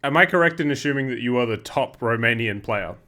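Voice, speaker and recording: A man's voice: medium pitch, animated, fast, pushed and bright. Upbeat man hosting a gaming interview. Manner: upbeat, laughs often. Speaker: host. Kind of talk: gaming video narration and interview.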